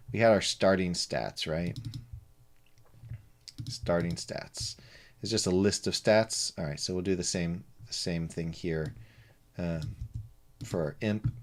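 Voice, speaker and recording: A man streaming live game development, talking to himself with many fillers and joking asides in a soothing voice. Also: low voice. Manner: rambling, self-talk with many fillers and asides. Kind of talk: live coding stream, casual narration.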